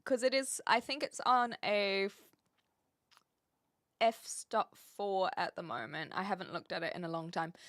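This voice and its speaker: A woman's voice: mid-range voice, measured pace, fairly bright tone, no echo, studio quality. A woman from New Zealand streaming live and chatting casually with viewers, rambling a little with restarts and fillers.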